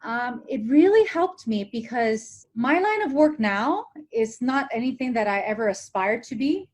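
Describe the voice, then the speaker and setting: A woman's voice: on the high side, animated, measured pace, darkish tone. A woman from Hong Kong with an American accent, a TV presenter talking casually as an interview guest on a call. She is reflective, laughs often and repeats phrases for emphasis. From Hong Kong, raised in Ohio, USA. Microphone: remote call.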